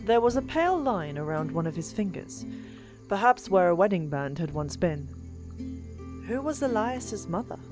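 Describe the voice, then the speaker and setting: A woman narrating a fantasy audiobook in an expressive, deliberate storytelling manner. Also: mid-range voice. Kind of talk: dramatic scripted fiction narration. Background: background music.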